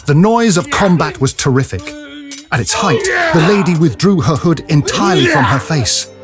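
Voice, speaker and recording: A man with a fairly high voice narrates an adventure tale. His delivery is theatrical and vivid, with music behind it. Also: sing-song, moderate pace. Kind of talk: dramatic scripted story narration.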